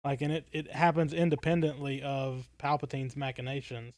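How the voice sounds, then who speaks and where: A man co-hosting a podcast in casual, analytical discussion, halting and repeating words as he builds a point. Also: mid-range voice, little pitch movement, a little mellow, no echo. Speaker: podcast co-host.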